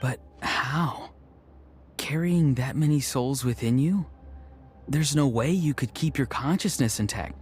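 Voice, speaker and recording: A man's voice: medium pitch, unhurried, faint music behind, close to an everyday voice. An actor playing an earnest, questioning young male companion in a video game. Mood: shocked. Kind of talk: scripted video game voice acting.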